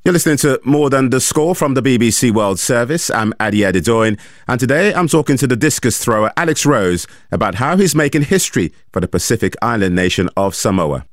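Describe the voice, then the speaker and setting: A man hosting a radio interview, asking measured, clear questions into a studio microphone. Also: medium pitch, expressive, dry recording. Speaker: radio host.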